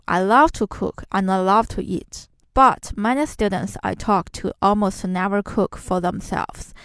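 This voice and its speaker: A young woman from China, a native Chinese speaker, hosts a podcast in earnest scripted narration. Her voice sits at a middle pitch and sounds very dry and close, with no room around it. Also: dry recording. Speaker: podcast host.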